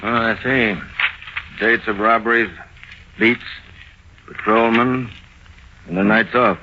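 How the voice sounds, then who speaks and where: An actor playing a brisk, shrewd police captain with an urgent, commanding manner in an old-time radio crime drama. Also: male voice, mid-range voice, fairly even intonation, pushed and bright, a little hiss or hum. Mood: businesslike. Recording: muffled vintage radio recording.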